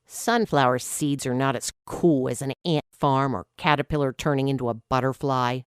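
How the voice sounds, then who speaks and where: A woman's voice: rumbling low, normal speed, clean tone, dry recording, clean studio sound. A woman voicing a young schoolboy, glum but curious and eager, in a storybook reading.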